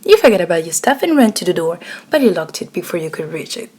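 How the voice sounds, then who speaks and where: A woman's voice: fairly low pitch, very animated, quick pace, a little mellow, breathy edge. A woman narrating a scripted ASMR roleplay story, addressing the listener directly. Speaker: narrator and voice actor.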